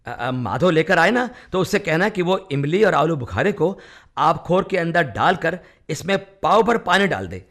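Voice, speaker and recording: An actor playing a bossy, cocksure friend who briskly pushes folk cures in a radio play. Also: male voice, a little high, very animated, a bit bright, heavily acted. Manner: brisk, insistent, chiding. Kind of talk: Hindi radio play acting.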